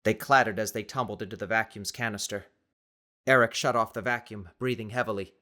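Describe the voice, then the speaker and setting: A man's voice: fairly low pitch, steady tone, brisk, pushed and bright. A man reading scripted fiction as a dramatic audiobook narrator.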